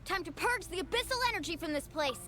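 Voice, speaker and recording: Very high-voiced young heroine. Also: female voice, animated, quick pace, loud music behind the voice. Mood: urgent resolve. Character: earnest, caring young heroine leading her friends. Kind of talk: anime-style video game acting.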